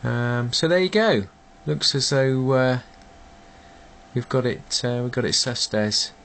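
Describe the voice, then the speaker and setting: A man chatting casually as an amateur radio operator, demonstrating a contact in a hesitant, measured way. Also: mid-range voice, animated, gravelly, a bit bright.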